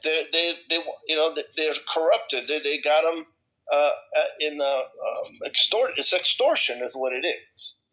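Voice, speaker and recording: Bright, forceful man rambling off the cuff. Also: medium pitch. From El Salvador. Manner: rambling, halting, repeats words and breaks off mid-thought. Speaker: discussion participant. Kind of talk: casual, impromptu personal talk in a group discussion. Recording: slightly dull, lo-fi audio.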